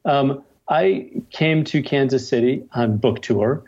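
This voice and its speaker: A man's voice: medium pitch, takes it slowly, creaky, darkish tone, highs cut off. A middle-aged male novelist chatting casually as an interview guest, telling stories in a wry, self-deprecating way. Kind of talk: casual interview chat with anecdotes.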